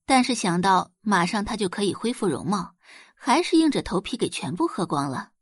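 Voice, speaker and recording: A woman's voice: mid-range voice, big pitch swings, normal speed, slightly rough, dry recording, clean studio sound. A woman reading scripted audiobook narration as a storyteller.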